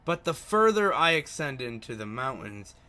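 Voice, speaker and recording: A man's voice: mid-range voice, very animated, normal speed, bright, forceful tone. A man hosting a gaming video, casually commenting and reading game text aloud, sometimes stumbling over words.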